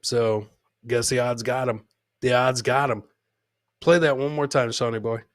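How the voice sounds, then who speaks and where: A man's voice: mid-range voice, fairly even intonation, a little slow, dry recording, clean studio sound. A man hosting a comedy show, casually commenting on clips with crude, mocking humour and repeating his punchlines.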